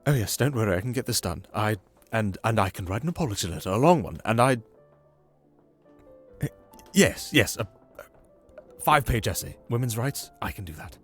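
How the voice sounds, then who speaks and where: A man's voice: lowish voice, expressive, a little fast, breathy edge, soft background music. A man playing a nervous, eager-to-please nobleman who stammers and fawns in an audio roleplay drama. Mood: nervous, pleading. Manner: stammering, fawning, anxious.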